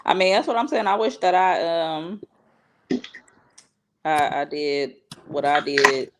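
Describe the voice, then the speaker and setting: A woman's voice: low-pitched, lively intonation, takes it slowly, noisy background. A woman in her thirties in a casual podcast chat, teasing at times and reflective. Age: 30s.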